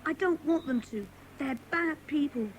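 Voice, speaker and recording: A woman's voice: high-pitched, animated, normal speed, slight background noise. A woman playing a frightened but defiant young runaway boy, plaintive and guarded, in a children's audio drama. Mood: plaintive, confiding.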